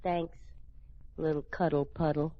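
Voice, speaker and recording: An actress playing a playful, scheming sitcom wife in an old radio comedy, with a coy, wheedling delivery. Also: female voice, sits low, little pitch movement, a little slow. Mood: sleepy, smug. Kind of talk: 1940s-50s radio sitcom acting. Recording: dull old radio recording.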